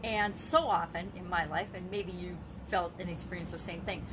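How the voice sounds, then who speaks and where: A woman's voice: on the low side, steady tone, normal speed. An older woman talking candidly and off the cuff to camera as a business vlogger, rambling a bit, with emphatic phrasing. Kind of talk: unscripted talk to camera on a live video. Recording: clearly noisy background ambience.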